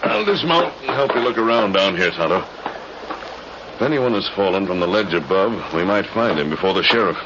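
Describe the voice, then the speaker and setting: Resolute cowboy hero, low voice, earnest formal diction, old radio western, muffled noisy recording. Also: male voice, expressive, a little fast.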